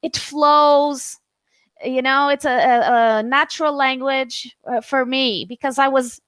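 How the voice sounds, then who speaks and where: A woman's voice: high-pitched, fairly even intonation, slow pace, a bit bright, clear, resonant tone, dry recording. A Canadian woman tutoring English over a video call, chatting casually and hesitating often with fillers and restarts. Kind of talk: casual online conversation lesson.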